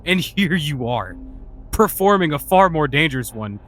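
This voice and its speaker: A man's voice: a little high, big pitch swings, normal speed, a bit bright. An actor playing a scornful, exasperated male warlock in a fantasy audio drama, scolding and sarcastic. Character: irritable, scornful male dark magician and warlock. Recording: music behind the voice. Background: music.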